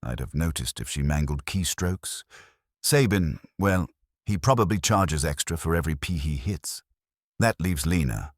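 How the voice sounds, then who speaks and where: A man's voice: rumbling low, animated, normal speed, dry recording. A man voicing a wry, wisecracking detective's legman in an audiobook mystery, dry and sardonic. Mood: wry, reasoning.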